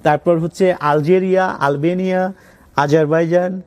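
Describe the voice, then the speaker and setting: A man's voice: high-pitched, steady tone, measured pace, a little mellow. A man with a Bangladeshi accent telling his own story conversationally as an interview guest, mixing in English words. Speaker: interview guest, college owner.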